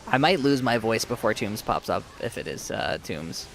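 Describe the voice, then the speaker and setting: A man's voice: mid-range voice, animated, normal speed, noisy background. A man streaming a game live, chatting casually with viewers while his sore throat leaves his voice fading. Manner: chatty, reads and answers viewers.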